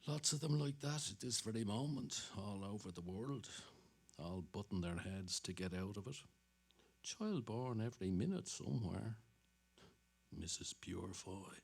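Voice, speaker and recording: An actor playing a pensive husband musing to himself in clipped fragments, in a dramatic reading. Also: male voice, lowish voice, animated, a little slow, gravelly, darkish tone, breathy edge, exaggerated voice. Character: Bloom, pensive Dublin husband musing privately over books. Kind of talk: dramatic reading of interior monologue.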